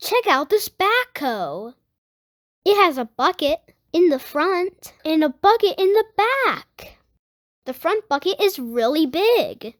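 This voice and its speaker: High-pitched child reading a storybook, very animated. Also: takes it slowly. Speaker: host of a children's read-aloud book channel. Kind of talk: storybook read-aloud with enthusiastic channel intro and sign-off.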